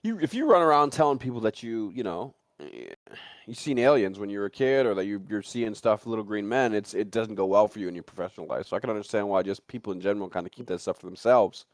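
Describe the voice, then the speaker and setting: A man's voice: lowish voice, animated, fast talker, dry recording. A man hosting a casual talk show, chatting in a rambling, joking way. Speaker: show host. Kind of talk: casual chat.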